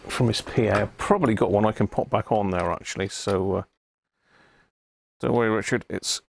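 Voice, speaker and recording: A man's voice: lowish voice, moderate pace, gravelly, slight background noise. A man giving casual, unscripted live commentary to himself as he works on a hobby repair stream. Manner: unscripted, muttering to himself while working.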